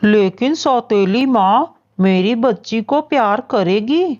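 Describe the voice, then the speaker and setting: A woman's voice: fairly high pitch, animated, takes it slowly, a little mellow, muffled recording. A woman voicing a tender, worried widowed father bird in a children's cartoon story, earnest and pleading.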